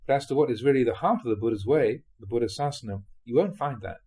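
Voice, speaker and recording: A man reading translated Buddhist talks aloud as a monk, in an expressive storytelling delivery. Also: mid-range voice, quick pace, husky.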